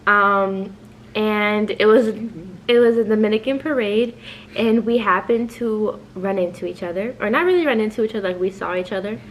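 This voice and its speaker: A woman's voice: mid-range voice, moderate pace, slight background noise, poor audio. A woman in her twenties from New York hosting a casual couples Q&A, chatty and playful, swearing freely. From New York, raised in New Jersey. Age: 20s. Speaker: channel host.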